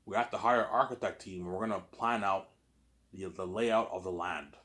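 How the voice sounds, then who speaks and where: A man's voice: deep voice, moderate pace, a bit bright. A man with a slight Canadian accent talking in a rambling casual monologue, often restarting and tagging on "obviously". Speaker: vlogger.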